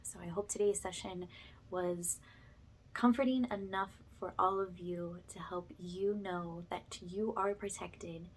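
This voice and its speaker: A woman with a soft, breathy voice that often drops to a whisper, gently leading a soothing spiritual ASMR session in a reassuring way. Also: fairly low pitch, fairly even intonation, unhurried, slight background noise. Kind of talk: ASMR, soothing guided energy cleanse.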